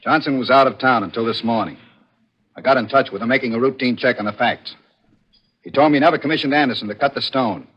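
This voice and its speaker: An actor playing a gruff, suspicious, blunt police detective in a 1940s radio thriller. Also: male voice, mid-range voice, fast talker, close to an everyday voice. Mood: matter-of-fact. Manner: blunt, needling, matter-of-fact. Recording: muffled old radio recording.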